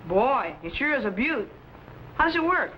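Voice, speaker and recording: A man's voice: extremely high pitch, big pitch swings, normal speed. A young American man playing an eager, respectful teenage lab assistant in a vintage sci-fi serial, full of wide-eyed, earnest curiosity. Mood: eager, curious. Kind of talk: 1950s sci-fi serial acting. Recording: dull, noisy vintage broadcast soundtrack.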